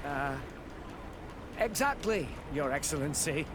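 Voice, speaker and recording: A man's voice: a little high, expressive, slow. A man playing an evasive, beleaguered customs officer in a video game, hesitant, stammering and deferential. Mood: flustered, defensive. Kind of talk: video game cutscene acting. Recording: noisy outdoor ambience behind the voice.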